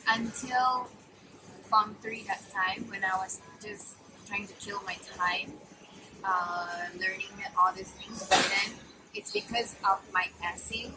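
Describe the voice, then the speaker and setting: A young Malaysian woman with a mid-pitched voice gives casual interview answers. She thinks aloud in short, hesitant phrases, with music clearly playing behind her. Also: poor audio.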